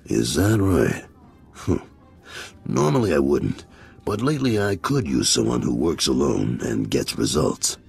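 Game role: gruff older gangster, very deep gravel, slangy and folksy, animated delivery. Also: male voice, measured pace, soft background music, exaggerated voice. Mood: businesslike. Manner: folksy, plain-spoken, clipped slangy phrasing. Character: big, gruff, older crime boss's lieutenant, worried father. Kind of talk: video game voice acting.

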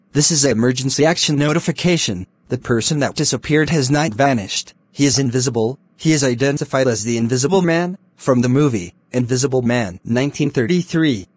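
A man reading scripted emergency broadcast announcements in a formal, flat synthetic delivery. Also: medium pitch, brisk, hardly any pauses, husky, no echo.

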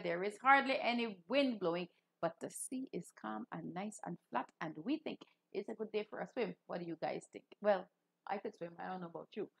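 A woman's voice: mid-range voice, vocal fry, fairly bright tone. A woman, hosting a children's Bible lesson, asking questions and repeating key points. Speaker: children's Bible show host, Anglican clergy. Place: small room indoors.

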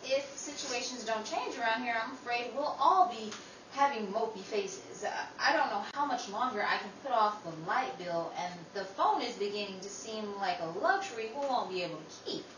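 A woman's voice: a little high, animated, moderate pace, fairly bright tone. A woman playing a sensible, protective working sister in an old-time radio style drama, motherly and emphatic. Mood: weary, practical. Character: Emily, sensible, protective young working sister, firm peacemaker. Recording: roomy, distant mic, noisy.

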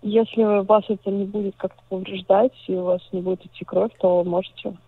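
Woman, phone support agent, formal scripted delivery at a fast clip, dry lo-fi line. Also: medium pitch, flat delivery, slightly rough, dry recording. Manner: polite, scripted, businesslike. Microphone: telephone.

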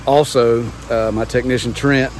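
A laid-back man in his thirties chats to his phone at arm's length, with a medium-pitched voice. He is under a covered patio outdoors, and a gas pump engine drones clearly behind him. His talk is loose and rambling, full of fillers. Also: fairly even intonation, moderate pace. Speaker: pressure washing business owner, vlogger. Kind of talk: casual vlog talk to camera. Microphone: phone held at arm's length. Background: pump engine and vehicle noise.

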